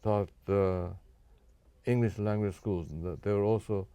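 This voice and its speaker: An older man born in Japan, answering interview questions in a measured, careful way with frequent fillers and restarts. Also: lowish voice, steady tone, mellow, clear, resonant tone, no room sound. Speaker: guest.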